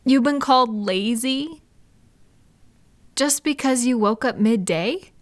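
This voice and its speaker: A woman's voice: fairly high pitch, takes it slowly. A woman playing a caring, chatty girlfriend in an intimate one-sided roleplay audio, talking affectionately and reassuringly to a partner. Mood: protective, concerned. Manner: soft-hearted, reassuring, playful, affectionate. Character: caring, gentle, chatty girlfriend comforting a night-owl partner.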